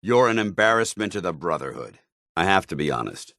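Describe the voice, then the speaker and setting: Deep-voiced, stern military paladin. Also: male voice, very animated, fairly quick, gravelly, a bit bright, dry recording, clean studio sound, full character voice. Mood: stern, disappointed. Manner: formal, clipped, commanding, earnest. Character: stern, earnest military paladin, loyal to his order. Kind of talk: video game companion dialogue.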